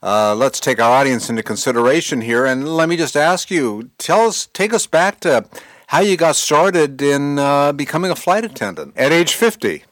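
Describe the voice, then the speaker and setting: A man's voice: on the high side, very animated, moderate pace, fairly bright tone, no echo. A man in his sixties hosting a podcast and interviewing a guest in a friendly way. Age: 60s. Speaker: podcast host.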